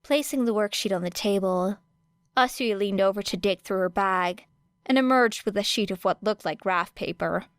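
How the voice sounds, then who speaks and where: A woman narrating fan fiction as an audiobook in a steady, even storytelling voice of middling pitch. The recording is dry and close, with no room sound. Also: moderate pace, clear, resonant tone, dry recording. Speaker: podfic reader.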